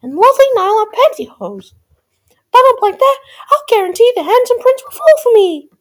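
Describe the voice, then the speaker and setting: A woman voicing a brisk, bountiful magic fairy godmother with a commanding, exclamatory storybook delivery. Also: extremely high pitch, sing-song, pitched above the performer's own voice. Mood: confident.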